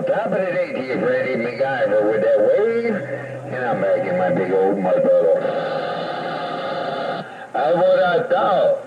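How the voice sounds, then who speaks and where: A man chatting casually in folksy slang over a CB radio. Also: medium pitch. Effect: CB radio transmission. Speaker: CB radio operator. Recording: tinny, band-limited CB radio, loud background.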